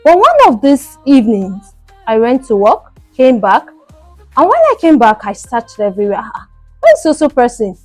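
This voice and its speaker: A woman's voice: fairly high pitch, sing-song, moderate pace, no room sound, faint music behind. A woman with a Nigerian accent hosting a story programme, telling a story conversationally with rhetorical questions. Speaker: storytelling show host. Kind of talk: narrated personal story.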